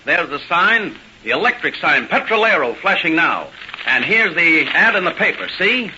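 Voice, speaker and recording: An actor playing a brash, eager, wisecracking American newspaper reporter in an old-time radio play, pushy and excitable. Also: male voice, fairly high pitch, moderate pace. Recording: hissy, dull old radio recording.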